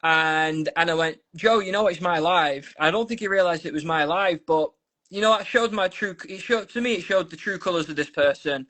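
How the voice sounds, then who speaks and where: A man's voice: fairly high pitch, moderate pace, fairly bright tone. A man from South Manchester with a Manchester accent, chatting as a volunteer guest on a video call, rambling with restarts and asides. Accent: Manchester English. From South Manchester, England. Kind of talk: casual interview chat. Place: Ukraine.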